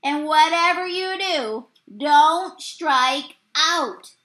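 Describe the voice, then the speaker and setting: A woman voicing gruff, shouting male baseball players from a storybook, urgent and growly. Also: high-pitched, very slow, heavily acted.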